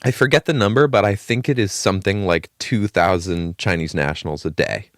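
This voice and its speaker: A young man with a deep, low voice talks through a long story in a relaxed, rambling way. He swears now and then and leans on fillers. The sound is dry and close to the mic. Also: a little fast, no echo. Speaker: podcast co-host. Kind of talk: casual chat.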